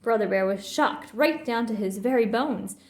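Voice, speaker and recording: A woman reading a children's story aloud in an expressive, theatrical way. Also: on the high side, normal speed. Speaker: storybook reader. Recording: roomy, echoing sound.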